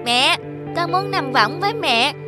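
A woman's voice: very high-pitched, animated, measured pace. A woman voicing a playful, eager little village boy in a cartoon. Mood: playful, excited. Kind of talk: cartoon voice acting. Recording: music under the voice.

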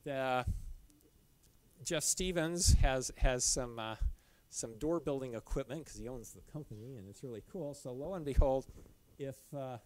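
A man's voice: mid-range voice, darkish tone. A middle-aged man giving a casual slide talk in a dim lecture room, with many restarts and fillers. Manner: loose, halting, frequent restarts and fillers. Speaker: caving club presenter.

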